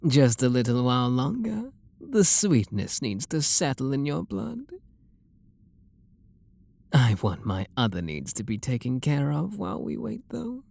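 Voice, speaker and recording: A man with a British accent playing a refined, possessive and seductive vampire lord in an intimate ASMR roleplay, speaking softly and coaxingly with a breathy, husky voice. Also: medium pitch, full character voice. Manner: soft, coaxing, flirtatious, unhurried.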